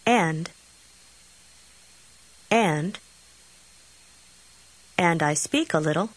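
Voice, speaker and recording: Woman saying course phrases slow and careful, husky, dry close sound. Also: on the low side, dry recording, poor audio. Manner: careful, clearly spaced delivery. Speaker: model speaker in a language course. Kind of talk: scripted phrase repetition.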